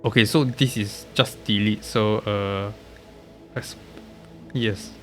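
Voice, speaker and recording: A man with a low, nasal voice commentates casually on his game stream, sounding stuffed up from being sick. He speaks very slowly and haltingly, often trailing off, with music playing behind him. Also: fairly even intonation. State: congested, recovering from being sick.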